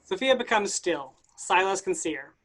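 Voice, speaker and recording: A woman's voice: mid-range voice, lively intonation, normal speed, fairly bright tone. A woman reading stage directions as scripted narration for a play reading.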